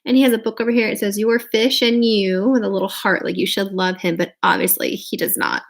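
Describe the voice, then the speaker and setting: A woman's voice: medium pitch, fast talker, vocal fry. A woman reading a picture book aloud expressively, with chatty, casual asides to her listeners. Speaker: read-aloud storyteller.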